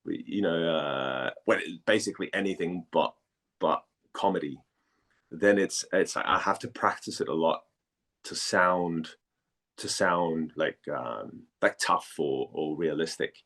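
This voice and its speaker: Guy from denmark w a low voice, casual interview chat, kinda hesitant, repeats himself. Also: fairly bright tone. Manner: hesitant, halting, repeats words while thinking. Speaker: voice actor, interview guest.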